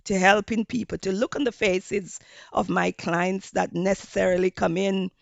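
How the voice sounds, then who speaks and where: A woman from Jamaica with a Jamaican accent, a hospitality professor giving measured interview answers with frequent fillers. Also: mid-range voice, fairly even intonation, vocal fry, no room sound.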